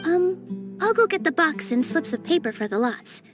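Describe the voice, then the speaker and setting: Very high cheerful announcer, lively, game music behind. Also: female voice, normal speed. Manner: upbeat, announcing. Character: cheerful female contest announcer.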